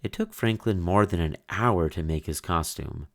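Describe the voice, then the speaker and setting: A man reading a children's picture book aloud with slow, expressive storybook delivery. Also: low voice, gravelly. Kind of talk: read-aloud storybook narration.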